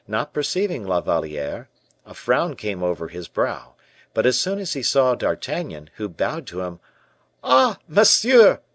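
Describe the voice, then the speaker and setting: A man narrating a classic novel as an audiobook, with even, measured storytelling. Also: fairly low pitch. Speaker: audiobook reader.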